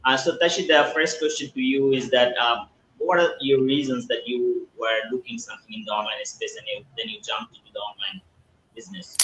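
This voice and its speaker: Bright-voiced man hosting a live stream. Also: medium pitch, animated. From Bangladesh. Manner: halting, many filler sounds. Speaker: host. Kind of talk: live online interview. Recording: echoey room with faint ambient noise.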